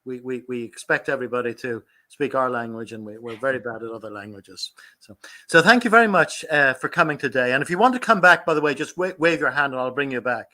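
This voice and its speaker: A man's voice: mid-range voice, animated, fast talker, sharp tone. A man hosting an online meeting, friendly and stammering with repeated words. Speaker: meeting host. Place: lives in Ireland.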